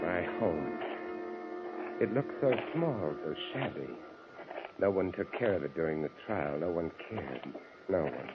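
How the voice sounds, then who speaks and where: An unhinged poet-killer. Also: male voice, deep voice, lively intonation, unhurried, over loud music. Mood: hushed menacing. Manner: feverish inner monologue, swinging from panic to whispers. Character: male poet escaped convict, unhinged killer. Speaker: lead actor in radio play. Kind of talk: 1950s radio drama acting. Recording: noisy, muffled old radio broadcast.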